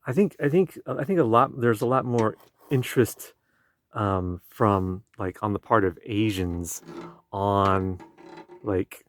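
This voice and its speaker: A young man with Chinese as his first language, hosting a casual conversation and talking in halting phrases with many restarts and fillers. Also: fairly low pitch. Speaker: podcast host. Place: indoor room with a field recorder. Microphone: field recorder.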